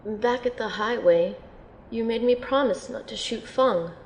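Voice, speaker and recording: Wounded young female healer-soldier, played by a woman, audio drama. Highish, wry, informal, roomy recording with faint noise. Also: unhurried. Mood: questioning. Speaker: voice actor in an amateur audio drama. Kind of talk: acted dialogue in an audio drama.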